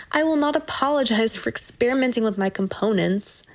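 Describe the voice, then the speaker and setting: A woman's voice: fairly high pitch, animated, moderate pace. An actress playing a sly, unbothered teenage witch with a morbid curiosity, teasing in formal period audio drama style.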